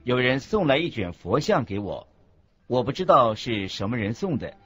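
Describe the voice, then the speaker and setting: A man playing a Buddhist monk teacher in a scripted radio lecture, husky, expressive and didactic. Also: medium pitch, normal speed, no room sound. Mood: earnest recounting. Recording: dull lo-fi recording with faint music.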